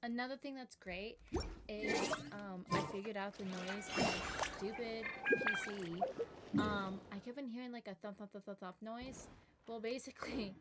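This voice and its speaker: A woman's voice: medium pitch, moderate pace, heavy background noise. A woman game streamer chatting casually to viewers in a rambling, self-deprecating way. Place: indoors at a home gaming setup. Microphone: streaming microphone.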